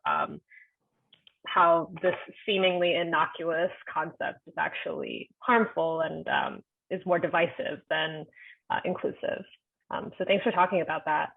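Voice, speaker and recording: A woman in her twenties hosting a speech competition, talking casually with many filler words. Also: on the low side. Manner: friendly, filler-heavy talk. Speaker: event host. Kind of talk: casual moderating. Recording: tinny phone-like call audio.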